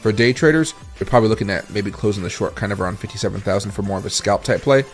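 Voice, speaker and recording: Male livestream host, raspy and tired, somewhat low-pitched, casual and meandering chart talk. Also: racing, soft background music. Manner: rambling, thinks aloud while scrolling charts and reading chat.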